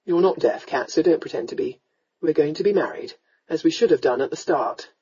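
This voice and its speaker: Woman voicing a gruff, low-pitched young lord. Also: brisk, dark tone, dull audio. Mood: stern, commanding. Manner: blunt, commanding, determined. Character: gruff, hot-tempered, tender young aristocratic rake. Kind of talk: romance audiobook character voice.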